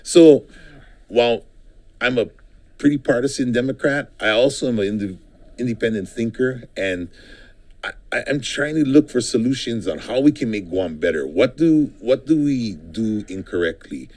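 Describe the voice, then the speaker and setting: A man's voice: medium pitch, animated, normal speed, a little mellow. A middle-aged man from Hawaii, a political candidate, talking casually in a studio interview and rambling with frequent ums and restarts.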